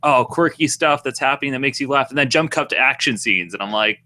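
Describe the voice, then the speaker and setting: A man's voice: medium pitch, fast, sharp tone. A young man with a New England accent, co-hosting a casual podcast chat, rambling with lots of fillers and restarts. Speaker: podcast co-host.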